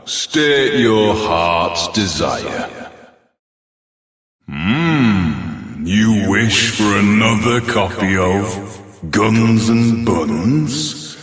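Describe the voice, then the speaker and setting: A man's voice: low-pitched, fairly bright tone, heavily acted. A man playing an ancient, imperious dragon lord in a fantasy video game, with a grandiose, theatrical delivery and amusement at mortals. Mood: solemn, commanding, then wry, amused. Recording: echoing, long hall-like reverb.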